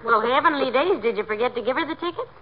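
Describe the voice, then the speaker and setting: An actress playing a sensible, dryly patient and teasing American wife in a 1940s radio comedy, gently correcting and folksy. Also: female voice, high voice, lively intonation, fast talker. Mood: friendly. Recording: muffled, noisy 1940s radio recording.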